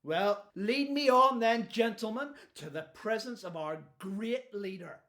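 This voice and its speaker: An actor playing an outspoken, defiant imprisoned prophet in a radio Bible drama, blunt and deliberate. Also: male voice, high voice, bright, forceful tone. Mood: indignant, sardonic.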